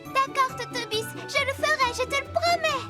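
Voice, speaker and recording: A woman's voice: very high voice, moderate pace, loud music behind the voice. Tiny-voiced, sweet little cartoon girl. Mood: grateful. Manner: tender, childlike. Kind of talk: French-language children's cartoon dub.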